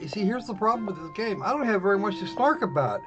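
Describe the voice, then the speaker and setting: A man's voice: high-pitched, very animated, quick pace. A man hosting a live game stream with casual, snarky, rambling commentary. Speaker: livestream host playing games. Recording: muffled, dull band-limited sound. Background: faint game music.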